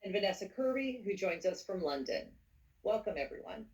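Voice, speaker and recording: A woman's voice: mid-range voice, expressive, brisk. A woman moderating a panel Q&A, introducing guests and asking questions, affirming with frequent mm-hmms. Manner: warm affirming listener, frequent mm-hmm. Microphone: video call. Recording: distant video call audio.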